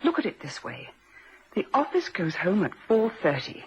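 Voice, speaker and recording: A muffled, noisy old radio recording carries an actress as an earnest woman with insistent, persuasive delivery. Her pitch moves in lively, dramatic swings as she speaks with deliberate weight. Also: lightly acted. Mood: insistent, accusing. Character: earnest, insistent woman warning a suspected man. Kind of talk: vintage radio drama acting.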